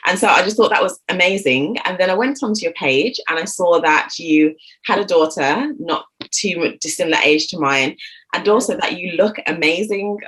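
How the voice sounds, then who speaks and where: A woman's voice: on the low side, animated, normal speed, fairly bright tone, rough recording. A woman in her thirties, a GP hosting an interview on a video call, chatty and rambling with lots of fillers. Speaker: host, GP. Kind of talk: casual interview chat.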